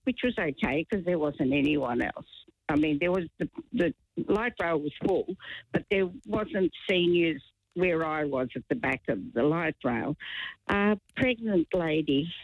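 A woman's voice: on the low side, no room sound. A woman in her seventies calls in over a tinny phone line, chatty and indignant. Her voice comes through dry and thin. She rambles along with cheerful self-mockery. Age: 70s. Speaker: radio caller. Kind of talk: phone-in chat. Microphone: telephone.

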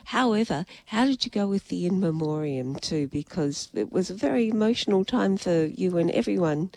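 A woman's voice: on the low side, lively intonation, moderate pace, mellow, no room sound. A middle-aged Australian woman co-hosting a radio chat, playful and chatty, her voice nasal and stuffy from a head cold. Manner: chatty, playful, laughs often. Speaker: radio co-host.

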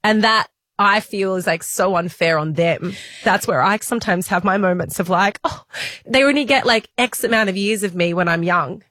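A woman, co-hosting a podcast, talking in a heartfelt, halting way. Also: medium pitch, a bit bright, dry recording. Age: 40s. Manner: heartfelt, halting, near tears. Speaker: podcast co-host. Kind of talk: emotional casual chat.

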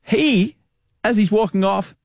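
Australian male host, lively self-mocking storyteller, voice on the high side. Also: measured pace, gravelly, no echo, clean studio sound. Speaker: podcast host. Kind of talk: casual chat and show narration.

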